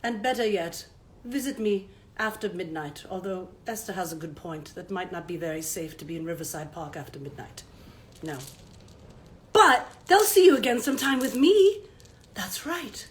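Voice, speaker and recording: A woman voicing a groggy male owl puppet with a croaky voice and drowsy, old-fashioned politeness. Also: medium pitch, expressive, normal speed, voice pushed down, close to an everyday voice.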